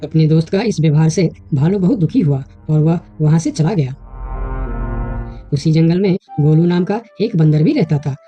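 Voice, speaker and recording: A woman's voice: sits low, moderate pace, mellow, muffled recording. A woman giving scripted narration of a children's animal story, with music under her voice.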